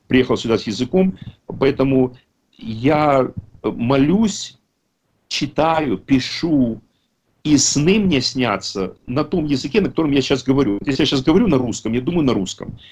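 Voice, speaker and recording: Husky guy in his 50s on a video call, rambles, corrects himself a lot, emphatic. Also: mid-range voice, a little mellow. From Russian-speaking country. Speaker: recovery program speaker. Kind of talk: casual talk at an online recovery meeting.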